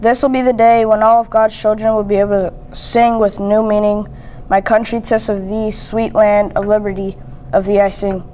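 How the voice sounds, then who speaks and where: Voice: medium pitch, little pitch movement, moderate pace, dark tone. A young teen student reading a famous speech aloud. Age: young teen, seventh grader. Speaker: student reader. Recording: noisy amateur recording.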